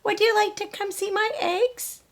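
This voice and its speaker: A woman's voice: extremely high pitch, fairly even intonation, normal speed, gravelly, a bit bright, voice pushed up, exaggerated voice. A woman voicing an eager, easily saddened mother hen in a children's storybook. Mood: eager, friendly.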